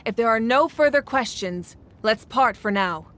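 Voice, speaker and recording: Commanding, fairly high-voiced female ruler. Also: lively intonation, fairly bright tone. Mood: composed. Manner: authoritative, formal, measured. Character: commanding, composed female ruler of a warrior nation. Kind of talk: video game voice acting.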